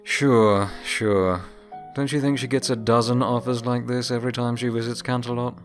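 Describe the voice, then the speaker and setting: A man's voice: medium pitch, fairly even intonation, normal speed, faint music behind, lightly acted. A man voicing a skeptical young male pony who teases his friend in a wry, doubtful way in an audio story. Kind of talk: audio story character dialogue.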